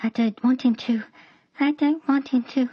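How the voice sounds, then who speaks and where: A woman's voice: sits high, fairly even intonation, moderate pace, dark tone, breathy edge, no echo. A performer voicing a timid, frightened little girl in a storybook reading. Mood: pleading. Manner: timid, pleading. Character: frightened little girl clinging to her friend. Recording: dull lo-fi recording.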